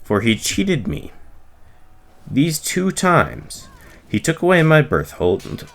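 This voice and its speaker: A man reading the Bible aloud from the text, giving the dialogue expressive emphasis in his own voice. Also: on the high side, takes it slowly, gravelly. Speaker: reader.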